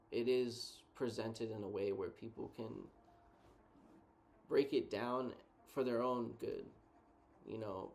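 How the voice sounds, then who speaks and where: A man co-hosting a casual reflective chat, thinking out loud in a laid-back, slangy way. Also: mid-range voice, vocal fry. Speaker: co-host.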